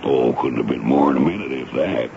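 An actor playing a folksy, dutiful American army sergeant in a radio drama, speaking in a colloquial, deferential way with a gravelly voice. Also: male voice, extremely low pitch, a little fast. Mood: matter-of-fact, respectful. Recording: muffled, noisy old radio broadcast.

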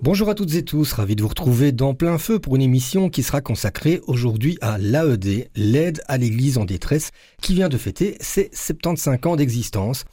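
A man with a Belgian French accent hosting a radio interview, calm and courteous as he introduces his guests and asks questions. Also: medium pitch, normal speed, husky, no echo, studio quality, soft background music. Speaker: radio host.

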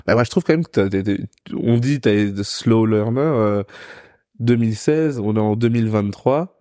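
A male podcast host keeps the interview loose and friendly, and he backs his guest up with quick agreements. His voice is dark and mellow. The sound is dry, with no room around it. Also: lowish voice, dry recording. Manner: frequent short backchannel replies, chatty questions. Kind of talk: casual interview.

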